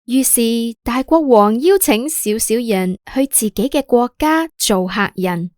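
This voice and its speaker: A woman's voice: a little high, expressive, measured pace, a little mellow, dry recording, studio quality. A woman with a Hong Kong Cantonese accent narrating a scripted story for children as a podcast host.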